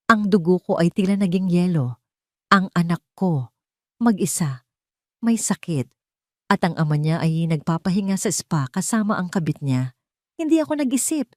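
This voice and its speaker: Filipino woman, lower-pitched voice, dry close sound, emotive scripted drama narration. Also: a little mellow, dry recording.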